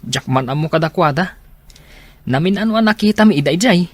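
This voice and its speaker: A woman's voice: on the low side, lively intonation, a little fast, no room sound. A woman playing a matter-of-fact young student in a radio drama.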